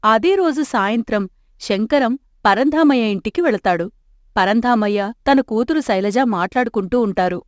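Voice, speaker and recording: A woman's voice: on the high side, expressive, moderate pace, no echo, clean studio sound. Woman narrating a moral story.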